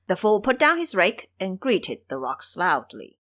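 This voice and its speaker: Woman storyteller narrating fantasy audio drama, super animated, mid pitch that moves around a lot. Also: a little slow.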